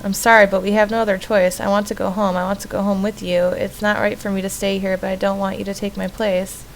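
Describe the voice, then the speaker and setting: Pleading young girl, read by a woman, horror visual novel, distrustful, insistent, medium pitch, faint noise. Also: level tone, quick pace, vocal fry, close to an everyday voice, poor audio. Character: pleading, distrustful young girl, little sister trapped in painting.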